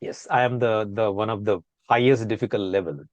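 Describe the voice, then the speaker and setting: A man with an Indian accent teaching English, talking quickly and flowing on with few pauses. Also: lowish voice, fairly even intonation, no echo, muffled recording. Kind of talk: conversational accent lesson.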